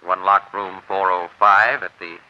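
An actor playing a cool, decisive, slangy newspaper sleuth in a 1940s radio drama, with a clipped, matter-of-fact delivery. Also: male voice, deep voice, steady tone, moderate pace, bright, forceful tone. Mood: urgent, determined. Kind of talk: 1940s hard-boiled radio drama acting. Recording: muffled vintage radio recording.

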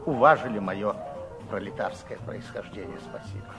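A man's voice: on the low side, moderate pace, music in the background, close to an everyday voice. An actor playing a talkative, folksy working-class man in his sixties who likes to complain, in a 1960s Soviet radio play. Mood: reminiscing. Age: older. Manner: folksy, complaining, rambling old man's talk. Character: garrulous 66-year-old working-class man, ailing, folksy, grateful.

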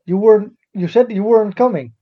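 A man in casual banter as a podcast host, heard through a muffled, low-fidelity microphone. He swears readily and puts his questions sardonically. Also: a little fast. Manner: profane, sardonic. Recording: dull lo-fi microphone sound.

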